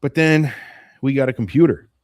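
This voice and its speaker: A man's voice: mid-range voice, normal speed, dry recording, clean studio sound. A man in his late forties hosting a morning live stream, chatting to viewers in a loose, rambling way about how things have changed. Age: 40s. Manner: chatty, nostalgic, wanders off topic. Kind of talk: casual rambling chat to viewers.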